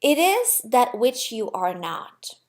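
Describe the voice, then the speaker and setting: Woman voicing a gentle, wise God, expressive and unhurried. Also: fairly high pitch. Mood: reassuring. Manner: patient, explanatory, reassuring. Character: gentle, wise, amused God, patient and reassuring. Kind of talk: storybook character voice.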